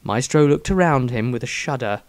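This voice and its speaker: A man's voice: mid-range voice, animated, moderate pace, no room sound. A man narrating a fantasy story expressively, as an author reading his own work.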